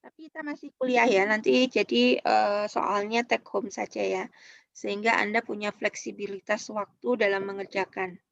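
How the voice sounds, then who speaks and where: Female Indonesian lecturer, medium pitch, dry but dull lo-fi sound, halting explanatory delivery with frequent check-in tags. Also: fairly even intonation, vocal fry, no echo. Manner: halting, often checks in with short tag words. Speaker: university lecturer. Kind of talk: online accounting lecture, explanatory.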